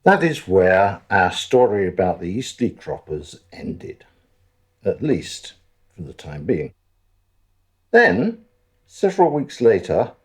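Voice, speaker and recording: A man plays a wry storyteller narrating a radio drama. His voice is low and husky, and he takes his time. The intonation rises and falls widely while the asides stay deadpan. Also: full character voice. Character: wry male storyteller looking back on events.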